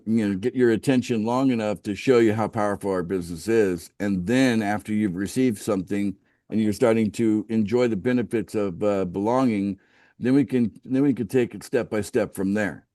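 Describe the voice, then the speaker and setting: A middle-aged American man from the West Coast, pitching a business opportunity on a casual group call, often restarting sentences and asking the group for agreement. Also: moderate pace, dark tone, no room sound, studio quality. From United States, West Coast. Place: outdoors by a home pool.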